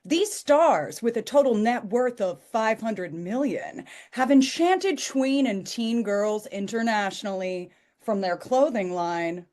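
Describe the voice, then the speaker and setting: A woman's voice: a little high, expressive, slow pace, a little mellow. A woman actor at a table read playing a gushing female entertainment presenter, with theatrical promotional narration. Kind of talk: tabloid-style promotional narration.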